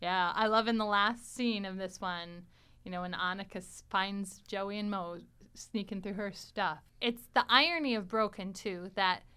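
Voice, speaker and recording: A woman hosting a casual panel conversation in an animated, joking way, laughing easily. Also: mid-range voice, normal speed, clean tone. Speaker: podcast host.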